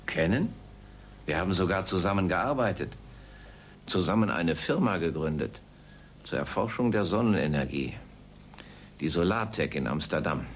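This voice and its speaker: A man playing an earnest, courteous middle-aged scientist in an audio drama, speaking in a measured, formal way. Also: low voice, expressive, a little hiss or hum. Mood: grave, bitter. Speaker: actor in a German children's audio drama. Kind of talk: radio play acting.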